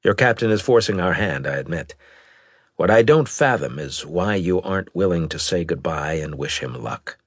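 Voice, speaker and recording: A man voicing a large, gruff admiral in an audiobook, husky, deliberate and authoritative, with a skeptical, probing manner. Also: low-pitched, lively intonation, no echo, studio quality. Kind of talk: audiobook character dialogue.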